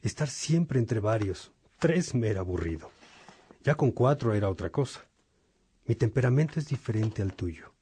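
A man playing an earnest, fatherly monk and mentor in a radio drama, speaking in a measured, formal way. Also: on the low side, lively intonation, mellow, breathy edge. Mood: kindly, reflective.

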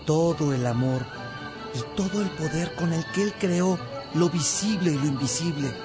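An actor playing a loving spiritual teacher who earnestly exhorts in a radio drama. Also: male voice, on the high side, normal speed, natural voice. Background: music.

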